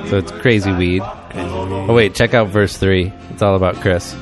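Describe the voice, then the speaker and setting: A man's voice: low voice, fairly even intonation, moderate pace, over music. A man hosting a casual chat, explaining things in a rambling way with many restarts and fillers. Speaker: podcast host. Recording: talk over a song playing.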